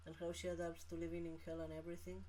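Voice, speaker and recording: A man's voice: fairly high pitch, monotone, dark tone, slight background noise. Man chatting while he draws. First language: Catalan. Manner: halting non-native English with frequent laughs. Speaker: comic artist streaming. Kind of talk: casual livestream chat while drawing.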